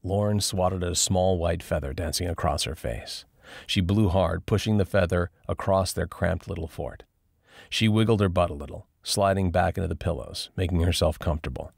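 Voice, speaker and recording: A man's voice: low voice, moderate pace, vocal fry, no room sound, studio quality. A man narrating a scripted story as an audiobook reader.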